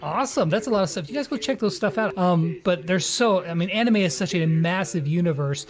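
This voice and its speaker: A man's voice: high voice, lively intonation, a little fast, crowd noise. A man interviewing guests in a casual, joking, informal way.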